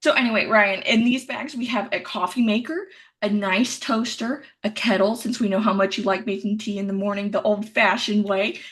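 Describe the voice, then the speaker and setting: An actress playing a chatty, well-meaning young wife in a virtual staged reading of a play. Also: female voice, medium pitch, fairly even intonation, normal speed, a bit bright, close to an everyday voice. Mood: bright, eager.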